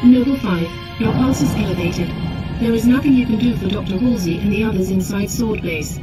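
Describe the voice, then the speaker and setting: Woman playing a precise, coolly logical AI, loud music. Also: medium pitch, brisk, close to an everyday voice, rough recording. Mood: coolly cautioning. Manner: precise, formal, detached. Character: composed, coolly logical female military artificial intelligence assistant. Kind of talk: scripted sci-fi game dialogue. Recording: loud music over game dialogue.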